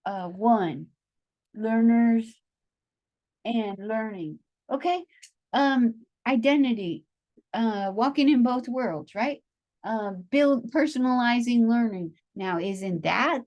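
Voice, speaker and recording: A woman teacher educator giving a classroom lecture, unhurried, thinking aloud with frequent pauses and checking in with her listeners. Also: mid-range voice, a little mellow. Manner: unhurried, checks in often with "okay?" and "right?". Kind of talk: classroom lecture explaining a chart.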